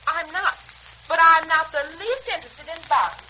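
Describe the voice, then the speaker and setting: A woman's voice: very high-pitched, expressive, normal speed. An actress playing a prim, touchy, tattling American girl in a 1940s radio serial. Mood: sulky. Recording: hissy 1940s radio recording.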